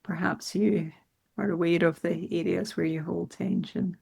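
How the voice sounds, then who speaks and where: Meditation guide, female, lowish register with marked vocal fry, soothing and unhurried delivery. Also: steady tone. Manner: soothing, unhurried phrasing with gentle instructions.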